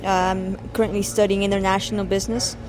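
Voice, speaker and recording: A woman's voice: medium pitch, little pitch movement, a little fast, crowd noise, low-quality recording. A woman in her twenties from El Salvador, a university student answering interview questions in an earnest way, sometimes repeating herself. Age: 20s. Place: coffee shop.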